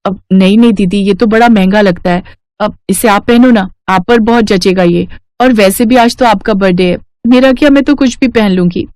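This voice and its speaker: A woman's voice: medium pitch, normal speed, a little mellow, dry recording, close to an everyday voice. Woman doing a shy humble cartoon girl, hesitant, very deferential, dry audio. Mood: meek. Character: meek, humble, mistreated young stepdaughter. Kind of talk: Hindi cartoon story character acting.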